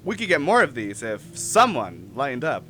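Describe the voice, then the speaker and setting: A man playing a polite, analytical alien translator with a courteous, measured way of speaking in a radio drama. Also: medium pitch, very animated, bright, forceful tone, faint music behind. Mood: intrigued.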